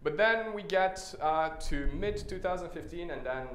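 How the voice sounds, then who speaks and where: A man's voice: high-pitched, expressive, moderate pace, fairly bright tone. A man from Serbia giving a talk at a tech meetup, casual and wry, with frequent filler sounds. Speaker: conference speaker. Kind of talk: conference talk with slides. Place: meetup stage, indoors. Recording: echoey room, faint noise.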